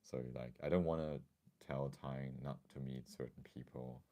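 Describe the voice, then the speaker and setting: Very deep-voiced man, slightly scratchy. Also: fairly even intonation, normal speed, mellow. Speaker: livestream host. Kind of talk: casual livestream chat.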